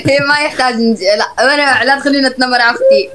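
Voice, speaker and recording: A woman co-hosting a casual phone live stream, relaxed and quick to laugh. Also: high-pitched. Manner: easygoing, laughs often.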